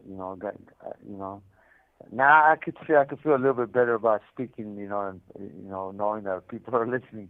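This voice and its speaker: A male caller heard through a narrow, tinny telephone connection. His voice sits fairly low, and he talks in a loose, conversational way, repeating phrases as he tells his own story. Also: dry recording. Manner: conversational, repetitive, peppered with "man" and "you know". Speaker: caller, prison inmate telling his story. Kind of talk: casual, unscripted personal storytelling. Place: prison phone call. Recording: tinny, band-limited prison phone line.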